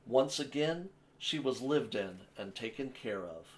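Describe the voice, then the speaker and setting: Guy doing story time, reading a kids picture book, expressive and measured, medium pitch. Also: slight background noise, poor audio. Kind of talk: read-aloud children's picture book narration.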